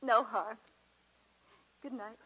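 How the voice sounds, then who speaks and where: Genteel young female college teacher, American, higher-pitched and bright, earnest 1940s radio-drama delivery. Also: steady tone, slow pace, dull audio, a little hiss or hum. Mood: polite. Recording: vintage 1940s radio recording.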